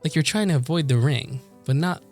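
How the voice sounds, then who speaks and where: A man's voice: a little high, brisk, a little mellow, natural voice. A man voicing a playful, boastful girl from a visual novel, with cocky, bantering delivery. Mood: cocky, teasing. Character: teasing, boastful, playful anime game girl. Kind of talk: visual novel dialogue read aloud. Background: game music.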